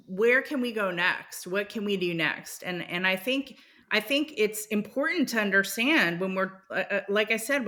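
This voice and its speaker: Bright-voiced woman, thoughtful guest. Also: mid-range voice. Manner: thoughtful, many ums and hesitations. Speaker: venture capital guest. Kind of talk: casual conversational interview.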